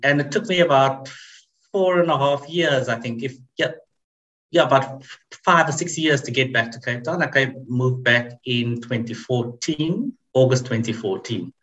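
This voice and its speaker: A man in his forties, a podcast guest telling his family story in a measured, reflective way. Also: medium pitch, a bit bright, highs cut off. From South Africa. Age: 40s. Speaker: interview guest. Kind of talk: casual interview chat.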